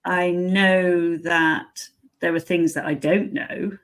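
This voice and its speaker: A woman's voice: on the low side, little pitch movement, slow. A woman co-hosting a casual podcast chat, blunt, confident and matter-of-fact. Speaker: podcast co-host.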